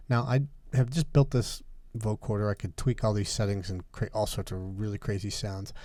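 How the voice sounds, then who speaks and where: A man with a lowish, dark and mellow voice walks through a music production tutorial. He explains methodically, one step after another, as an instructor does. The sound is close and very dry. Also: fairly even intonation, moderate pace, dry recording. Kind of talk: software tutorial, talking through steps.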